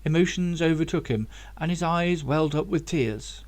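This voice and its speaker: A man's voice: fairly high pitch, moderate pace, darkish tone. A man reading his own novel aloud as scripted audiobook narration.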